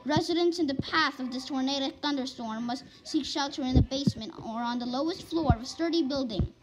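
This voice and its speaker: A woman's voice: sits high, brisk, background noise. A woman announcer reading a scripted emergency weather warning in a formal bulletin manner.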